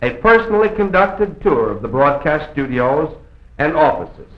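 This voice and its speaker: A man giving a formal scripted welcome as a radio host, with courteous, measured phrasing in a mid-century broadcast manner. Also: fairly high pitch, big pitch swings. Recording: echoey, dull old film soundtrack.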